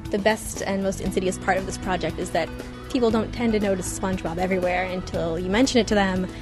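A woman in an interview clip, talking quickly with excitement and amusement. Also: mid-range voice, music in the background.

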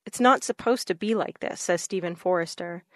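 A woman reporter narrates a radio news story in a calm, steady way. Her voice sits a little low, and the recording is dry and close. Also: normal speed, no room sound.

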